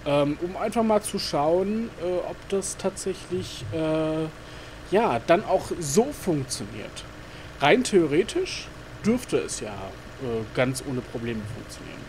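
A man's voice: on the high side, big pitch swings, takes it slowly, a little mellow, slight background noise, rough recording. A man giving casual let's-play commentary, rambling with many fillers and muttering to himself. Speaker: gaming YouTuber.

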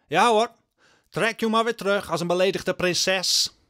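An actor playing a worried old peasant father in an audio drama, halting and rustic, pleading with exasperation. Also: high-pitched, bright, forceful tone. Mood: exasperated, scolding. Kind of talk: Dutch audio drama acting.

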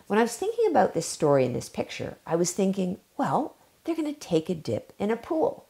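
A woman's voice: medium pitch, wildly swooping pitch, gravelly. A middle-aged woman, a children's book author, talking to kids about her book and showing a craft, drawing words out for emphasis in a storytime manner. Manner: warm storytime delivery, drawn-out emphasis. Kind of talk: reading aloud and craft demo for kids. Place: home library, seated in armchair before bookshelves.

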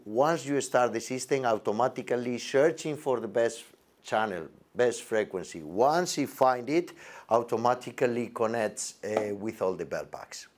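A man in his forties with halting, non-native English gives an unscripted product demonstration as a presenter, recorded indoors on a lapel mic. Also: mid-range voice, darkish tone. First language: not English. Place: indoor demo set with backdrop.

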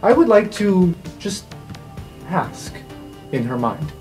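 A man's voice: sits high, big pitch swings, takes it slowly. A man playing a tabletop game, chatting casually as he improvises roleplay. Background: faint music.

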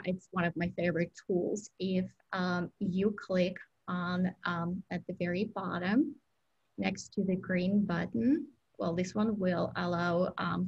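Woman, native Russian speaker, webinar presenter, upbeat explanatory, gravelly. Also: fairly low pitch, lo-fi sound. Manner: upbeat, explanatory, frequent ums.

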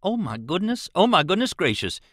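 Man acting a frightened, pleading Southern man, animated. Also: mid-range voice, normal speed, no echo, studio quality. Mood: excited. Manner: earnest, emphatic dialect speech. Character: frightened, pleading grown man, superstitious and stubborn. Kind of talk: audiobook character voice.

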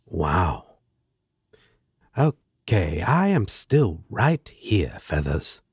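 A man's voice: deep voice, very animated, extremely slow, husky, dry recording, clean studio sound, exaggerated voice. A man playing a laid-back, sarcastic, protective demon roommate in an audio roleplay, with a drawling manner. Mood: sarcastic, annoyed. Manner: drawling, sardonic.

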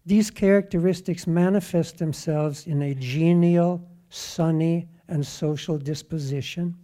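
A man, speaking deliberately and emphatically like a teacher as he makes a prepared comment from the audience. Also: on the high side, steady tone, warm tone. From Norway. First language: English. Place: lecture theatre audience.

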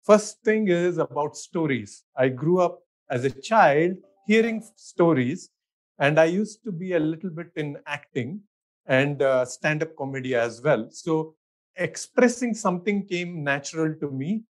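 A man's voice: fairly high pitch, expressive, measured pace. A middle-aged man with an Indian accent, an architect answering interview questions in an easygoing way. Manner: easygoing, thinks aloud with fillers and restarts, laughs often. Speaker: architect, guest.

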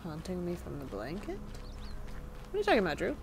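Chatty woman streamer, lively pitch. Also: fairly quick, background noise. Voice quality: soft, slightly raspy. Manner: chatty, answers chat questions aloud. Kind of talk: casual live-stream chat while gaming. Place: indoor room. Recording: game music underneath.

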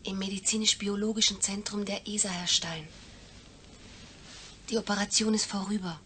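Eine Schauspielerin spielt in einem deutschen Hörspiel eine höfliche, gefasste Krankenschwester mit förmlicher Art. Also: female voice, medium pitch, flat delivery, normal speed, bright, forceful tone, slight background noise, natural voice. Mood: composed. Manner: formal, composed. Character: polite, composed female hospital nurse. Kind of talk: German radio play acting.

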